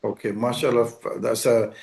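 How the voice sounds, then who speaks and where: A man's voice: mid-range voice, level tone, normal speed, gravelly, darkish tone. Guy hosting a radio chat, easygoing, kinda hesitant when he asks stuff. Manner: conversational, hesitant questions. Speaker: radio host. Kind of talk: interview questions.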